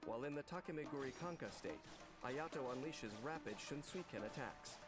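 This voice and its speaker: A man's voice: mid-range voice, expressive, brisk. A man reading scripted narration for a game character showcase. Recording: loud music under the voice. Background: loud music.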